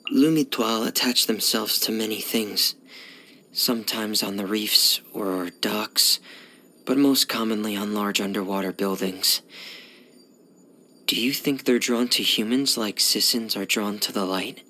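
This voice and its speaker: A gentle, withdrawn teenage boy from a video game. His voice sits fairly low, with a bright edge and a lot of soft breath in it. He speaks in a hushed, hesitant way, as a gloomy loner would. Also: unhurried, exaggerated voice. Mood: calm, pensive. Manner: hesitant, reserved, subdued. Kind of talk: video game character voice lines.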